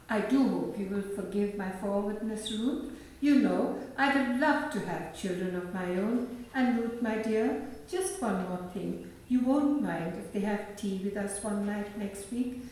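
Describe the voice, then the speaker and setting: A woman's voice: medium pitch, a little mellow, slight background noise, lightly acted. A woman playing a kindly, fussing, chatty married neighbour in a radio play. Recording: echoey room, far from mic.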